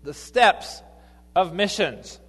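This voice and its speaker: A man, a lay missionary, preaching a sermon in a church, halting with fillers and restarts. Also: sits high, expressive, fairly bright tone, slightly rough, in a large hall. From grew up partly in Ecuador, then US.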